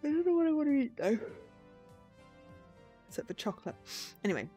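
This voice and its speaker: A woman's voice: high-pitched, very animated, a little fast, warm tone, faint music behind. A woman chatting casually and in a rambling way as a game streamer on a livestream. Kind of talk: casual livestream chat and reading game dialogue aloud.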